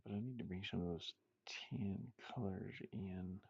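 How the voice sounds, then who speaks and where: Male artist muttering offhand while drawing, fry-heavy low register, dry and slightly muffled recording. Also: normal speed, darkish tone, no room sound. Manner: absent-minded self-talk, sing-song asides. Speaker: game artist streaming a drawing session.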